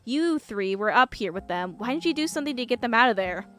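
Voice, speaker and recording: A woman's voice: fairly high pitch, animated, quick pace, fairly bright tone. A woman voicing a haughty, scolding woman landowner from a visual novel, sarcastic and irritated. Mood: sarcastic, accusing. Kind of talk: visual novel character reading. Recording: music behind the voice.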